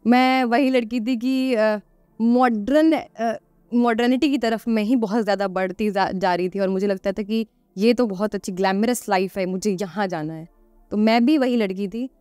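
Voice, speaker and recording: A woman's voice: medium pitch, moderate pace, a little mellow, smooth, dry recording, soft background music. A woman guest on a podcast, explaining earnestly and conversationally, repeating phrases for emphasis and posing rhetorical questions.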